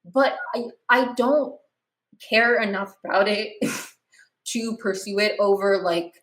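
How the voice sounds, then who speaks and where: A woman's voice: mid-range voice, takes it slowly. Chatty woman in her twenties, echoey room. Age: 20s. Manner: rambling, animated, frequent restarts and "like". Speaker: podcast host. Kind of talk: casual chat.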